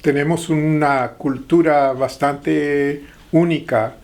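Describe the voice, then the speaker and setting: A middle-aged man from the Texas-Mexico border, a guest in an interview, speaking with hesitant pauses and fillers. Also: a little high, steady tone, darkish tone. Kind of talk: interview conversation.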